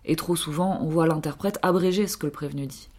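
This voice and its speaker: Woman, low voice, measured delivery, scripted radio column. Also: a little mellow.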